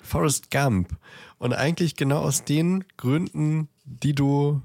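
A man's voice: a little high, slow, gravelly, darkish tone, no room sound, studio quality. A man co-hosting a podcast, chatting casually about films and often searching for words. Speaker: podcast co-host.

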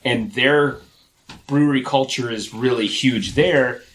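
Halting man in casual podcast chat. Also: mid-range voice, fairly bright tone, rough recording. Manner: halting, restarts sentences. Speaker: podcast co-host.

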